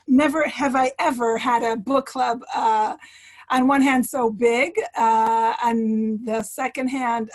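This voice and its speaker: High-voiced woman author on a video call. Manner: halting, many fillers and restarts. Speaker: author guest. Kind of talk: book club talk and reading aloud.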